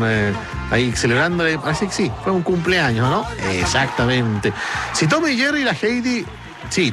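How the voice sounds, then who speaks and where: A man with a Chilean accent hosting a casual late-night radio chat, talking colloquially with frequent tag questions and reading out listener messages. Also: on the high side. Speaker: radio host. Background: music behind the voice.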